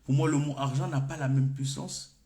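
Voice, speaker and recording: A man's voice: mid-range voice, little pitch movement, moderate pace, dark tone. A man whose first language is Comorian, giving an unscripted coaching talk to camera in French. He thinks aloud in an informal way, often stopping and restarting his phrases. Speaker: personal development coach. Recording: echoey room.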